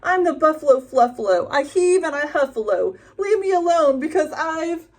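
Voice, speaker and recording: A woman's voice: medium pitch, lively intonation, normal speed. Woman doing a huffy grumpy buffalo. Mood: defiant. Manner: stompy, huffing, sulky. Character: grumpy huffy male buffalo, proud of his fluff.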